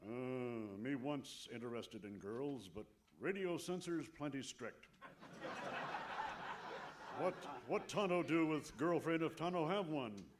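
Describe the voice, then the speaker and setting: A man playing a stoic, sly Western sidekick in a radio comedy, speaking stilted broken English with a deadpan manner. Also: medium pitch, slow. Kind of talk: old-time radio comedy acting. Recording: noisy live stage recording with clicks.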